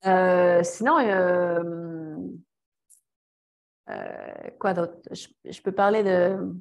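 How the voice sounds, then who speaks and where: A young Québécois woman talks casually over a laptop webcam from a small office. Her voice sits a little low, and she speaks in a loose, chatty way, with many fillers and restarts between her thoughts. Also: very animated, very slow, vocal fry, darkish tone. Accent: Québécois French. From Quebec. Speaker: guest speaker, cyclist. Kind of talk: casual online talk and Q&A. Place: small office in front of a banner. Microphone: laptop webcam video call.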